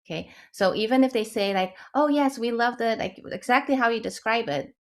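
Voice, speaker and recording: A woman's voice: on the high side, fairly quick. A woman from the Netherlands giving informal, explanatory advice as a panelist, emphatic and often checking in with the listener. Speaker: webinar panelist and advisor.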